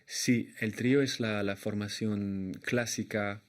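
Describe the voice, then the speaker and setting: A young man with a slight French accent, a jazz pianist answering interview questions in a hesitant way, often pausing and restarting as he looks for words. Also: on the low side, fairly even intonation. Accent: slight French accent in Spanish. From Argentina and France.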